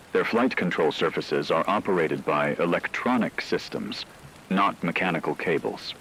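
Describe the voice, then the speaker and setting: A man playing a grave, formal radio news anchor, reading an emergency broadcast in a measured, authoritative way. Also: sits low, a bit bright, a little hiss or hum, full character voice, low-quality recording. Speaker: news announcer.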